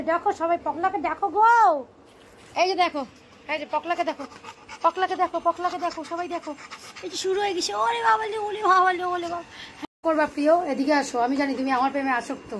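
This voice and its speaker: A woman with a high voice chatters casually on a home-style vlog. She is playful and cooing and tends to repeat short phrases, with faint background noise around her. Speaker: vlogger filming daily life.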